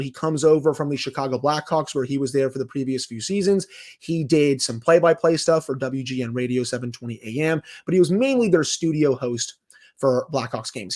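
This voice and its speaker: Higher-voiced male sports podcast host. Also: a little mellow. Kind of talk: sports podcast commentary, scripted-sounding intro and news rundown.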